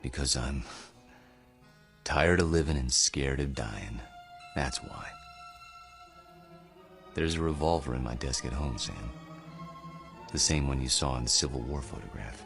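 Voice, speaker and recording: Very deep, world-weary immortal professor. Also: male voice, gravelly, a bit bright, full character voice. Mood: weary despair. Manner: measured, philosophical, deliberate delivery. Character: world-weary immortal man, ageless professor, rueful and grave. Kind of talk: radio drama acting. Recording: radio drama with music underneath.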